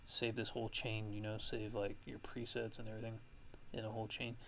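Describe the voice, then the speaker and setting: Gravelly, low-key man, casual ASMR tutorial. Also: flat delivery, a bit bright, slight background noise, poor audio. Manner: halting, thinking aloud while working. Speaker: music producer giving a tutorial.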